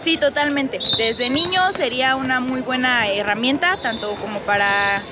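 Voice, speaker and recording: A high-voiced woman speaks as a basketball referee, giving thoughtful, steady answers. Loud traffic noise fills the outdoor space behind her. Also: moderate pace. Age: 20s.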